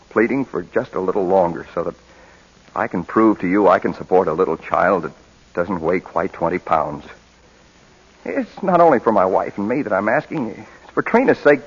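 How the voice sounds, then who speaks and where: Humble young dad, earnest, halting and emotional; low husky voice, vintage radio drama. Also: male voice, exaggerated voice. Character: pleading young adoptive father, humbled, earnest and loving. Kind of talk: 1940s radio drama acting. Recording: muffled old radio broadcast recording.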